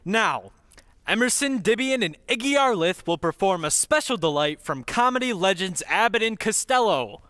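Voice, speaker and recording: A man's voice: high-pitched, lively intonation, moderate pace, fairly bright tone, no room sound. A man working as announcer, introducing acts in a scripted old-time radio show style.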